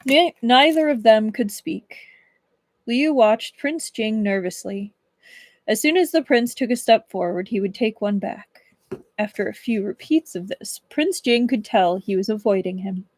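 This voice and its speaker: A woman livestreaming as a book reader, with expressive storybook narration and casual chat. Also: fairly high pitch, measured pace, dry recording.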